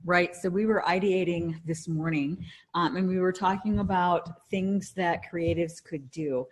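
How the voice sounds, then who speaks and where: Low-voiced middle-aged woman co-hosting a podcast. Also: a little slow, rough recording. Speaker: podcast co-host. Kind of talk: casual conversational podcast talk.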